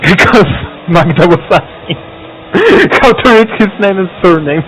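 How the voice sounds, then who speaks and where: A man's voice: high voice, animated, measured pace, fairly bright tone, slight background noise, low-quality recording. A man on a racing voice chat, teasing the others and laughing often. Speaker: sim racer on voice chat. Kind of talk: joking banter.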